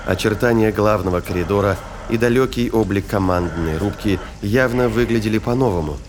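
Male narrator, low register, scripted science fiction storytelling, noisy bed of effects behind the voice. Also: fairly quick. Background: ambience and sound effects.

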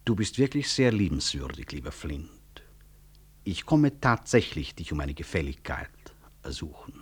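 Deep, husky, ironic professor. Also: male voice, sing-song, heavily acted. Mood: politely dry. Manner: dry, sardonic, measured courtesy. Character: proud, ironic male medical professor, dryly courteous. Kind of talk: 1960s German radio drama acting.

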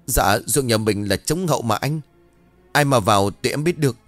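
A man's voice: expressive, moderate pace, no room sound, soft background music, lightly acted. A man voicing a frightened, deferential young farmhand in a story, crying out. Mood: defensive. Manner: panicked high-pitched crying out.

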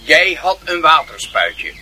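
A man playing a menacing notary in a radio play, accusing insistently with drawn-out words. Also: a little high, big pitch swings, sharp tone, full character voice. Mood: threatening. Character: menacing adult male notary accusing a child.

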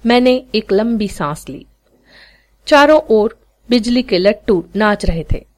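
Slow, expressive woman storyteller. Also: mid-range voice. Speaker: story narrator, podcast reader. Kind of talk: expressive scripted short story narration.

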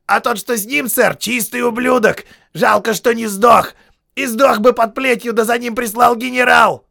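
A man voicing a foul-mouthed, groveling army sergeant who screams and pleads in a shrill, hoarse voice, in an audiobook. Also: steady tone, moderate pace, sharp tone, heavily acted. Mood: insistent. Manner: screaming, pleading, spitting insults.

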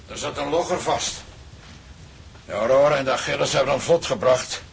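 An actor playing a gruff, practical older father from a seafaring family in a radio drama, with a gravelly voice. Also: medium pitch, normal speed, slight background noise, lightly acted. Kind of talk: Dutch radio drama acting.

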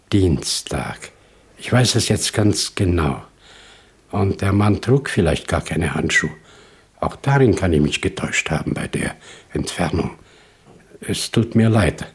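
A man acting a frightened, weary shopkeeper in his sixties in a German crime radio play, halting and apologetic. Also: low-pitched, slightly rough, exaggerated voice. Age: 60s. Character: frightened, weary 62-year-old immigrant shopkeeper and witness.

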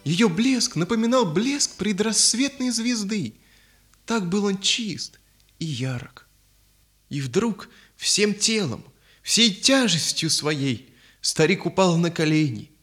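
A man narrating a children's audio fairy tale in a solemn, expressive, literary manner. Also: high voice, slow. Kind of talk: dramatic scripted storytelling, audio fairy tale. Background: music.

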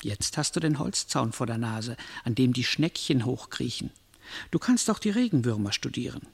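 A man's voice: mid-range voice, lively intonation, moderate pace, creaky, husky. A man playing a stern, mocking narrator of doom, declaiming solemnly and incantatorily in a literary radio drama. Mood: solemn, ominous. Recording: long cavernous reverb.

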